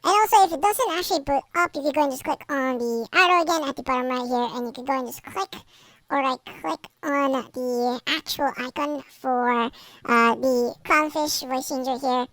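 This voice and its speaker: A woman narrating a software tutorial, instructing step by step in a repetitive way. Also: sits high, normal speed, dry recording. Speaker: tutorial host.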